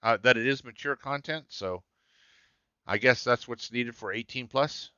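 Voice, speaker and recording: A man's voice: medium pitch, normal speed, pushed and bright, clean tone, no echo, lo-fi sound. A man with a scratchy voice hosting a live game stream, muttering to himself as he searches through settings. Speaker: gaming streamer.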